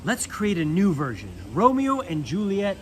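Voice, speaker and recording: A man's voice: high voice, big pitch swings, moderate pace, a little hiss or hum. A man reading a storybook and voicing an eager, boastful toy space ranger in a showy, earnest way. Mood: eager, confident.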